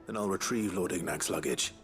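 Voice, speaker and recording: A man playing a polite, resolute adventurer in a video game, plain-spoken and measured. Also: sits low, soft background music, lightly acted. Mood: calm, determined. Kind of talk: video game cutscene acting.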